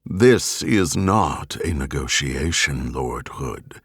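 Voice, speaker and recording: A man voicing a cold, commanding female artificial intelligence in an audiobook, speaking in a steely, slow, deliberate way. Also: a bass voice, expressive, breathy edge, no room sound, studio quality, voice pushed down, heavily acted. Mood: cold menace. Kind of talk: audiobook character voice.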